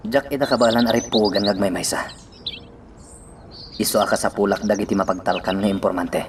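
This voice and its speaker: A man's voice: on the low side, steady tone, a little fast, lightly acted. A man with an Ilocano accent playing an earnest young man on a secret mission in a radio drama. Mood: earnest, troubled. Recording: distant mic, noisy, birdsong behind.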